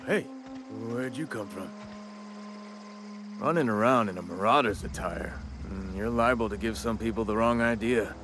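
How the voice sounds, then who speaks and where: A man's voice: on the low side, fairly bright tone, a little hiss or hum, lightly acted. A man playing a chatty, shrewd cemetery worker in a video game, with a wry, folksy drawl. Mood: wary, chiding. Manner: colloquial drawl, wry and talkative. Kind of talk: video game dialogue acting.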